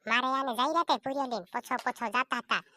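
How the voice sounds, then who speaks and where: A high, dry-sounding voice of a woman acting as a bickering villager in a cartoon comedy. The character scolds and argues, going over the same phrases again and again. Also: fast talker, pushed and bright, dry recording.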